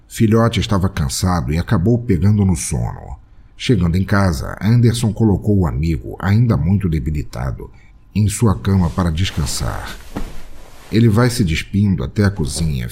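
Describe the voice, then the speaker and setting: A man's voice: low-pitched, mellow, slight background noise. A man narrating a comedy audio drama in a dramatic, scripted storytelling style.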